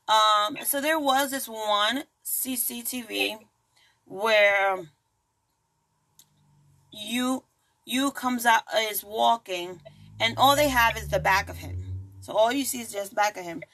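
A woman's voice: fairly high pitch, creaky, a bit bright, low-quality recording. A woman hosting a true crime podcast in a casual, chatty way, full of asides and jokes. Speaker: podcast host.

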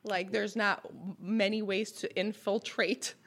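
A woman's voice: medium pitch, level tone, takes it slowly, fairly bright tone. A woman in her thirties from Montreal, a native French speaker with a French Canadian accent, chatting casually as a podcast guest and filling her talk with "like" and "you know". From Montreal, Quebec. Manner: talkative, lots of "like" and "you know". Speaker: guest, restaurant co-owner. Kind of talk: casual conversation.

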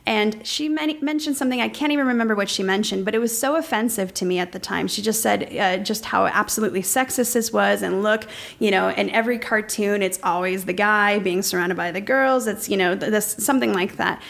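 This is a middle-aged woman talking casually in a podcast conversation. She speaks earnestly and rambles, stopping and restarting her sentences and filling pauses often. Her pitch sits in an ordinary middle range for a woman. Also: gravelly, fairly bright tone.

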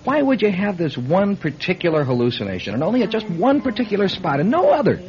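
A man playing an anxious, loyal airline co-pilot in an old-time radio drama, earnest and halting in his speech. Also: high voice, huge pitch swings, a little mellow, full character voice. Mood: reassuring, earnest. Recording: muffled, faintly noisy radio drama recording.

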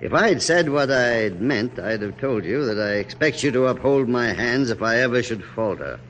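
Actor as weary, dignified general, swooping delivery. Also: male voice, mid-range voice, expressive, fairly bright tone, close to an everyday voice. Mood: reflective. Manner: formal, measured, wry. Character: weary, dignified American general of forty-five, dryly wry. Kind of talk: classic radio drama acting. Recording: noisy old radio recording.

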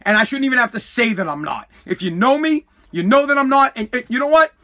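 A man's voice: very high voice, expressive, fast talker, pushed and bright. An American man hosting a podcast, delivering an opinionated solo rant in a combative, emphatic, repetitive and profane manner. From United States. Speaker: podcast host.

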